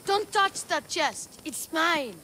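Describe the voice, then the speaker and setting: A woman playing an eager, feisty young boy in a video game, earnest and excitable. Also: extremely high pitch, slow pace, slight background noise, exaggerated voice. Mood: defiant. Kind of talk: video game character acting.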